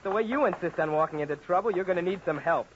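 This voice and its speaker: A hot-headed, loyal college sidekick acted by a young man talks fast and high. He sounds excitable and challenging, in 1940s radio adventure style. The old recording is dull. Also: background noise, lightly acted. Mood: alarmed, loyal.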